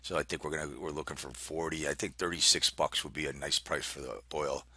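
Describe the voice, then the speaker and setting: Male market analyst, very low voice, dry close sound, off-the-cuff and halting delivery. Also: fairly even intonation, sharp tone, slightly rough, no room sound. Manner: halting, thinking aloud while reading out numbers. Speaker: market analyst hosting a trading briefing. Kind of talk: informal unscripted market commentary.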